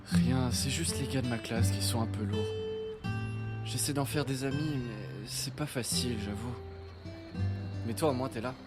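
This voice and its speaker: A man voicing a shy, forgetful teenage boy new in town, talking hesitantly and softly, with music behind. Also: medium pitch, moderate pace, pauses often, close to an everyday voice. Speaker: lead character. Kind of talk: fan audio drama acting.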